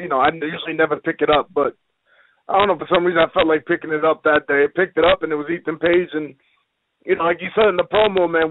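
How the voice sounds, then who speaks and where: A man giving a casual interview as a professional wrestler, blunt, streetwise and full of slang, over a phone line. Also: a little high. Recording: hissy, noisy, tinny phone line.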